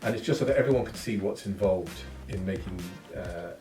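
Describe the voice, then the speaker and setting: A man's voice: low-pitched, fairly quick, mellow, far from the mic. A man producing audiobooks, giving cheerful, instructive direction. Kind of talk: studio direction. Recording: roomy, off-mic, music.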